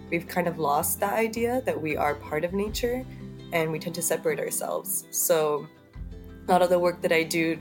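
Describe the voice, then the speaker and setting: A woman guest talking casually and with enthusiasm, a little under the weather. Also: deep voice. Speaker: podcast guest. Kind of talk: casual chat.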